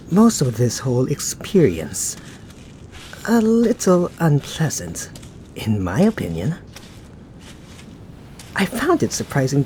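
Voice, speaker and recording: Man acting suave young male knight, ASMR roleplay, unhurried musing delivery, lively pitch movement, faint rumbling noise behind. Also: poor audio. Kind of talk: ASMR roleplay addressed to the listener.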